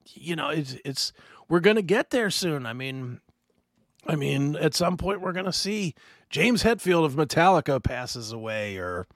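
A man in his fifties hosting a casual podcast chat, rambling and animated with many restarts. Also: a little high, a little slow, no room sound, studio quality. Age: 50s. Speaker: host.

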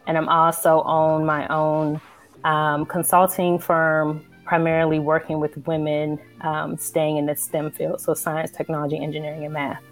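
A woman from Maryland introducing herself on a video call in a relaxed, slightly hesitant way, with many ums. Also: sits low, normal speed, faint music behind. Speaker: guest. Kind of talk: casual introduction.

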